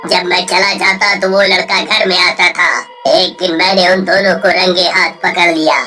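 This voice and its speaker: A woman voicing a vengeful male ghost in a kids' cartoon, speaking slowly and coaxingly and prodding with questioning hums. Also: on the low side, level tone. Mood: bitter. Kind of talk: cartoon voice acting. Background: soft music.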